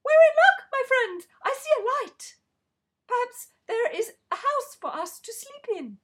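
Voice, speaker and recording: A woman voicing an old, excitable male rooster in a storybook, high and quavering. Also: a little slow, full character voice. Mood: excited.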